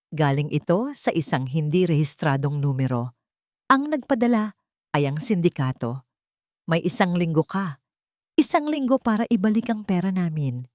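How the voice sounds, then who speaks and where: A woman's voice: low voice, dry recording, studio quality. A woman reading scripted, dramatic story narration.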